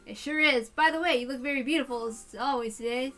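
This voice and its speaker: A woman voicing a courteous, flattering male gardener, her voice hoarse. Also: sits high, fast, faint music behind.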